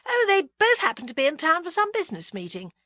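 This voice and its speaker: Brisk, defensive middle-aged businesswoman, read by a woman narrator; bright, dry, animated. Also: very high-pitched, no echo, voice pushed up, heavily acted. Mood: sharp. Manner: sharp, demanding, defensive.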